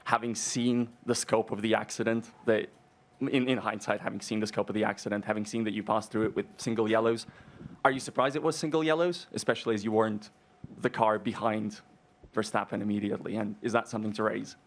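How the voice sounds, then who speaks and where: A man, a journalist, asking a long careful question at a press conference, softening it with apologies. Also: lowish voice, steady tone, brisk, a little hiss or hum. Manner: careful, apologetic, repetitive phrasing.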